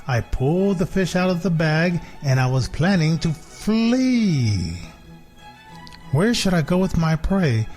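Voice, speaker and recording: A man reading a children's storybook as a sly, contented big house cat, in a measured, deliberate storytelling way. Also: fairly high pitch, a little mellow.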